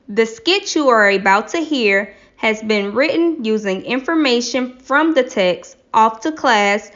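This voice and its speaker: A woman's voice: a little high, fairly bright tone. A woman reading a classroom script aloud like a teacher, with careful, instructive enunciation. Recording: slightly dull lo-fi recording.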